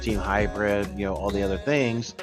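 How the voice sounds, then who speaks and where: A man's voice: lowish voice, fairly even intonation, normal speed, over music. A man guest-hosting a live cyber news stream, chatting casually with many fillers and restarts. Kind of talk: casual livestream chat.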